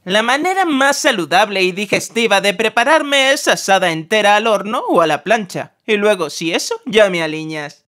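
Cartoon eggplant, female actress: proud, sassy, boastful, very animated intonation at a middle pitch. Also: moderate pace, natural voice. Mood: self-satisfied. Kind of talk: animated comedy voice acting.

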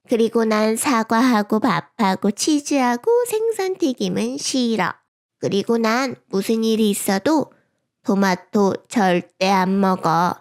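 A woman voicing a picky little girl in a storybook reading, insisting in a whiny voice on all the foods she refuses. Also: mid-range voice.

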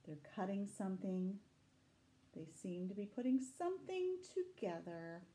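A middle-aged woman reading a picture book aloud to children, dramatic and deliberate, adding asides and questions for her young listeners. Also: mid-range voice, very animated, warm tone. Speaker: library storytime reader. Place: small room indoors. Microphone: camera a short distance away.

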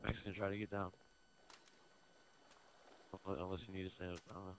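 A man's voice: deep voice, level tone, quick pace, slight background noise, low-quality recording. A young man in casual in-game voice chat, directing his teammates as a player in an online game.

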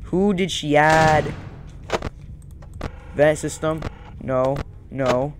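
A man's voice: on the high side, drawn-out words. A young man giving casual let's-play commentary, thinking aloud and repeating his phrases. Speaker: gaming YouTuber. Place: small room indoors at home. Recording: loud game music behind voice.

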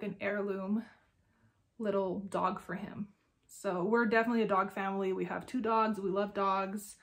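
A young woman with a congested, nasal voice, slightly out of breath, chatting casually as a vlog host. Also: mid-range voice. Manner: chatty, many "like" fillers. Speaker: crafting podcast host. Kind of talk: casual personal vlog chat.

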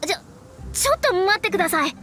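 A woman's voice: extremely high pitch, lively intonation, fast talker, sharp tone, heavily acted. A woman voicing a proud young astrologer mage in an anime game, speaking politely and precisely with a slightly haughty air. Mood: startled. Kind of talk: Japanese anime game voice acting. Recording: game music behind the voice.

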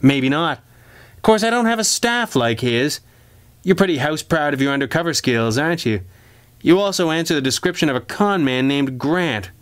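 A man voicing a young undercover policeman in a crime story, brash and defiant, with sharp, challenging retorts. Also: fairly high pitch, expressive, normal speed, clear, resonant tone, no room sound, pitched above the performer's own voice.

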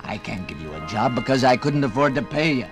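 An actor playing a broke, hard-pressed storekeeper in a 1950s radio drama, speaking emphatically. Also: male voice, medium pitch, animated, a little fast, music in the background, lightly acted. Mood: weary, exasperated.

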